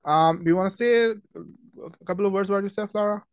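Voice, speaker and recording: Young man from Pakistan, webinar host, chatty, friendly, somewhat high voice, dry sound. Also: fairly quick, no echo. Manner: friendly, chatty, many ums and restarts. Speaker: webinar host, product marketing manager. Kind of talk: casual webinar presentation.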